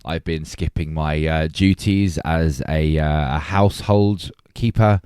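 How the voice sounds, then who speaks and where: A man in middle age talks casually as a podcast co-host. His voice sits low and deep, and it is recorded dry, with hardly any room sound around it. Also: a little slow, a little mellow, clear, resonant tone, no echo. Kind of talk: casual chat.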